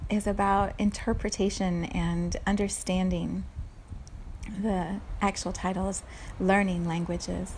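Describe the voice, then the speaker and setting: Woman, 40s, from South Africa; calm reflective solo talk, even and flat in pitch, gravelly texture. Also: a little hiss or hum. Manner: unhurried, thoughtful, laughs softly at herself.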